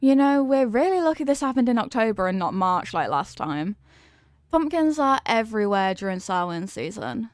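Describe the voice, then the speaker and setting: An affectionate headless horsewoman girlfriend, played by a woman, with a fairly high voice in a dry, close space. She is centuries old yet sheepish and klutzy, explaining herself in gentle rambles, in the manner of an intimate ASMR roleplay. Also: big pitch swings, moderate pace, dry recording. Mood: affectionate, rambling.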